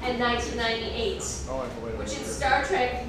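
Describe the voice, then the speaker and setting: High-voiced woman, echoey live comedy reading. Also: normal speed. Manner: self-deprecating, nerdy enthusiasm; mock-dramatic reading broken by deadpan commentary. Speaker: performer reading fan fiction on stage. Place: indoor live comedy reading event before an audience. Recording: roomy echo, distant mic, audience crowd noise. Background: audience laughter.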